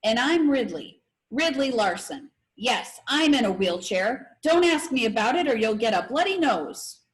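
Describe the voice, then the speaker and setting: A woman's voice: a little high, sing-song, unhurried. A woman reads as a feisty, clever girl from a storybook. She is blunt and prickly, stating things assertively and without apology. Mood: defiant.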